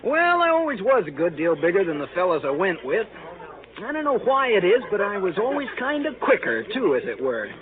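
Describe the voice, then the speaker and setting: A man's voice: sits high, huge pitch swings, brisk, phone-quality sound. An actor playing a boastful, gluttonous big young man with folksy, self-satisfied manners in a 1930s radio comedy. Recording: thin, band-limited 1930s radio recording, crowd.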